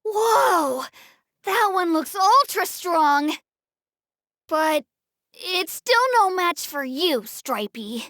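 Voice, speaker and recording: An earnest little boy from a video game, very high and bright in voice. He is excitable and wide-eyed, with lively swings of pitch over faint music. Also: extremely slow, no echo, clean studio sound, full character voice. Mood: impressed. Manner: wide-eyed, eager, childlike. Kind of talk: animated video game voice acting.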